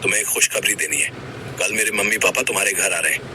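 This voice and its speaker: Man acting as young college sweetheart, lowish voice, earnest, romantic, cartoon drama, noisy background. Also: quick pace, lightly acted. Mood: tender, eager. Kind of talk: Hindi cartoon story voice acting.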